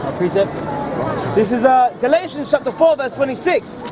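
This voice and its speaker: A man's voice: very high voice, lively intonation, normal speed. A man with a London accent arguing with rhetorical questions, calling people "bro.". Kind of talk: street preaching discussion. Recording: crowd and street noise.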